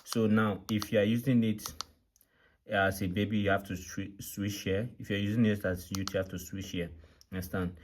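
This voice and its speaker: Deep-voiced man giving a hands-on tutorial. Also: level tone, normal speed, slight background noise. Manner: repetitive step-by-step explaining; frequently checks understanding with short questions. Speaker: tutorial presenter. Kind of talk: hands-on product demonstration, unscripted.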